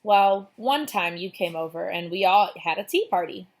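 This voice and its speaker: A woman's voice: lowish voice, expressive, moderate pace. A woman voicing a young girl in a children's storybook, matter-of-fact and unbothered, with an offhand way of talking.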